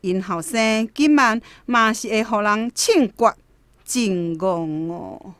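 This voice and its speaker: A woman's voice: medium pitch, takes it slowly, a bit bright. A woman voicing a scornful, gossiping village neighbour in a radio drama, with a Taiwanese accent and a dismissive drawl.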